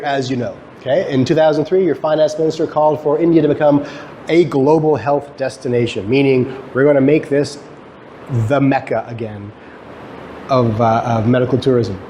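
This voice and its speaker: A man's voice: mid-range voice, dark tone. A man giving an explanatory lecture to students, conversational, posing questions and answering them himself. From Guyana, emigrated to Canada. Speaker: lecturer, academic researcher. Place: lecture room, talk to students. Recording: clearly noisy live lecture recording.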